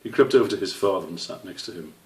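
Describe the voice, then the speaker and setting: A man's voice: deep voice, animated, fast, a little mellow. A man reading a children's story aloud as its narrator. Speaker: author reading own story. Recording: roomy, slightly distant microphone.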